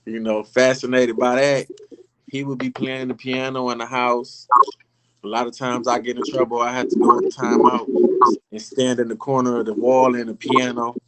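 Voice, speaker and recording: A man's voice: medium pitch, little pitch movement, moderate pace, a little hiss or hum, rough recording. A middle-aged man from Illinois, a guest rapper telling his story in a casual, profane, rambling way on a podcast. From Illinois, North Chicago area.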